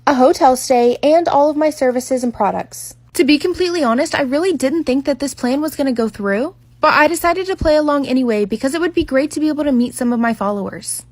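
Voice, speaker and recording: A woman with a high voice narrates a scripted personal story. She speaks in orderly, articulate full sentences. The sound is dry and close, with no room echo. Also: fast, hardly any pauses. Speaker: makeup artist storyteller.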